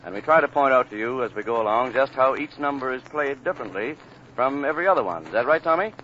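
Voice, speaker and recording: A man's voice: medium pitch, expressive, fast, bright, forceful tone, slight background noise. A man announcing a big band programme in 1940s radio style, on an old broadcast recording. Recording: dull vintage 1940 radio broadcast recording.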